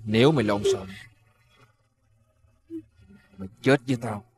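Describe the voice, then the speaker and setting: An actor playing a menacing gang boss who gives slow, threatening orders. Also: male voice, on the low side, natural voice.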